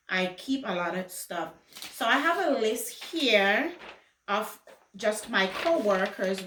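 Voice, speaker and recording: A woman's voice: on the low side, fairly bright tone. A woman from Jamaica who later moved to the US, chatting casually to viewers in a rambling, conversational way as a lifestyle vlogger. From Jamaica until age fourteen, then the US. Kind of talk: casual vlog chat to viewers. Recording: echoey room.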